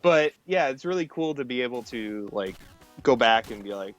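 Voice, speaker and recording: Mid-pitched male, informal and sweary casual gaming talk, music in the background. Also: expressive, normal speed, a bit bright. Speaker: programmer guest on a stream. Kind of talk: casual tech and gaming chat. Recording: music playing behind the talk.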